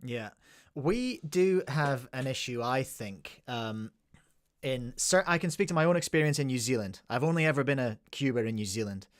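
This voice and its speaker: A man's voice: mid-range voice, expressive, normal speed, dry recording, studio quality. A man from Scotland with a Scottish accent, hosting a podcast and talking in a winding, chatty way with asides to listeners. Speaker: podcast host. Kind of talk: casual podcast chat.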